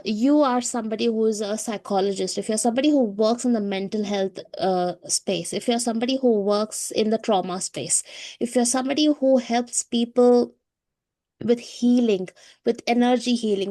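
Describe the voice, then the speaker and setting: Female wellness co-host, higher pitch, conversational and emphatic, repeats key phrases for the listener. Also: darkish tone. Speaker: co-host of a spiritual wellness show. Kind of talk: conversational spiritual talk to an audience.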